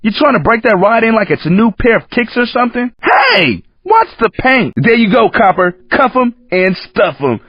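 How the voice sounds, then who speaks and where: A man's voice: very high-pitched, very animated, normal speed, few pauses, no room sound, heavily acted. A man voicing a cocky, hip, streetwise American racing coach in a video game, slangy and brash. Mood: cocky goading. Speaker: race announcer in a driving game. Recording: muffled lo-fi game audio.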